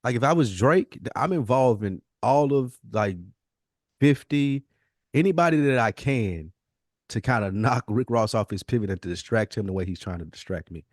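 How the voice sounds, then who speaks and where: A man's voice: medium pitch, expressive, moderate pace, dry recording. Slangy man in a dry close recording. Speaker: podcast co-host. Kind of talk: casual podcast chat about hip hop.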